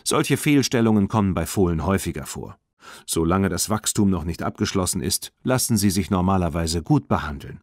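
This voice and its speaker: A man voicing a sensible, caring mother in a children's audiobook, measured and practical. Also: deep voice, expressive, darkish tone, dry recording, clean studio sound. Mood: reassuring.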